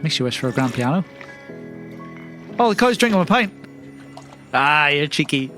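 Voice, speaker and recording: A man's voice: on the high side, big pitch swings, moderate pace, pushed and bright, soft background music. An actor playing a wry, weary male agent with an offhand manner in a comedic audio drama.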